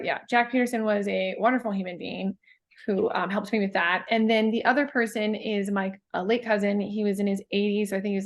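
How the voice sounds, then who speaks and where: A woman poet talking in a casual interview, thoughtful and halting, with many fillers and restarts. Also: mid-range voice. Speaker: guest poet.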